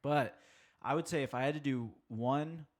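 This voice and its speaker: A man's voice: medium pitch, normal speed. A man in his thirties, a podcast guest chatting casually, rambling with many fillers and restarts. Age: 30s. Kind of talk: casual conversation.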